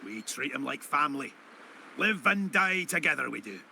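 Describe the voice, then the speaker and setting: A man's voice: mid-range voice, expressive, normal speed, vocal fry, bright, forceful tone, a little hiss or hum, heavily acted. An actor voicing a spirited, boastful old retired fisherman in a video game, with folksy old-salt talk. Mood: welcoming, matter-of-fact. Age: older. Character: spirited old retired fisherman, hearty whale-hunting sea dog. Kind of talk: video game character acting. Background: music.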